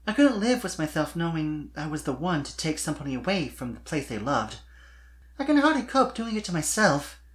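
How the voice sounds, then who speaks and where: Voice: medium pitch, big pitch swings, a little fast, pitched above the performer's own voice, lightly acted. A performer voicing an exasperated young female pony pop singer from a storybook, wry and wistful, with dramatic, emphatic, teasing delivery.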